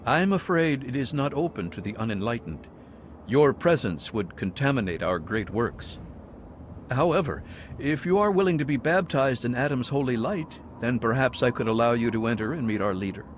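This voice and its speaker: Man voicing a zealous game monk, soft-spoken, pious, sermonizing, mid-range voice. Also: medium pitch, very animated, normal speed, a little hiss or hum, close to an everyday voice. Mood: serene. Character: serene zealous male cult monk. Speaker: game character. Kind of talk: video game dialogue.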